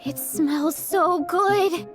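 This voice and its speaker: Sweet, high-voiced young glutton. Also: female voice, extremely slow, over music, exaggerated voice. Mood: hungry, dreamy. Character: sweet young female athlete with a huge appetite. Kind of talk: video game voice acting.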